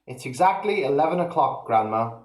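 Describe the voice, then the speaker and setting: A man's voice: medium pitch, animated, fairly quick, natural voice. A man reading a story aloud, voicing a sly young boy who talks to his grandmother in a falsely sweet, coaxing way. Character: cheeky young boy, sly and mock-polite. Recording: roomy echo.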